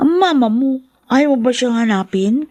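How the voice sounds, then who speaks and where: Radio drama friend role: young Filipino female, high voice, caring and inquisitive, dry sound woman acting. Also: normal speed, warm tone, no echo, close to an everyday voice. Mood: concerned, curious.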